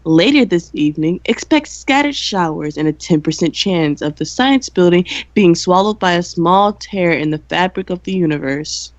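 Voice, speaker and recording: A dry, cynical teenage girl played by a woman reads announcements as a campus radio co-host. Her voice sits a little low and deadpan, recorded close with little room and a slightly dull sound, as in an amateur radio drama. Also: normal speed, hardly any pauses, dry recording, natural voice. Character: female high school senior co-hosting campus radio, dry and cynical.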